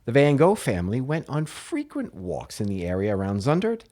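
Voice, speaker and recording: American man, podcast co-host, lively swooping pitch, playful storyteller. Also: normal speed. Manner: playful, hammy storytelling with jokey asides. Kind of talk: comedic history podcast chat.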